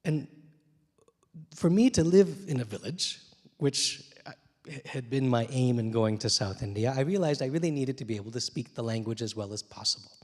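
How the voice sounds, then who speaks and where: A man in his forties, a little hoarse from talking a lot, speaks on a theater stage into a handheld mic. His telling is reflective and gently humorous, full of small pauses and fillers, and his middle-pitched voice trails off into the long reverb of the hall. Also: lively intonation. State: slightly hoarse from many interviews. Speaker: translator and poet. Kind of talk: storytelling talk on stage. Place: theater stage, dark room.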